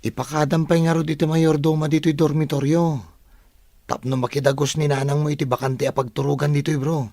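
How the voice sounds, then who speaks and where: Guy playing a helpful young dorm buddy in a radio play, bit creaky, higher voice. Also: flat delivery, brisk, mellow, no room sound, studio quality. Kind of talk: radio drama acting in Ilocano.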